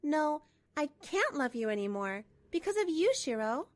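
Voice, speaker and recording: A woman playing a composed betrayed wife in a manga dub, narrating and speaking with measured, pointed delivery. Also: high voice, big pitch swings, no room sound, studio quality. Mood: firm. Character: betrayed wife, composed, coolly resolute, narrating her story.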